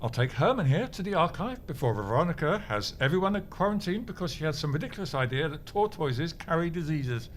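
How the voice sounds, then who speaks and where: Pompous, high-pitched blustering clerk. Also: male voice, very animated, a little fast, few pauses, a little hiss or hum. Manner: blustering, indignant, theatrical. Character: pompous, grumpy, melodramatic male bureau clerk, martial-arts dabbler. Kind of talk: comedy audio drama acting.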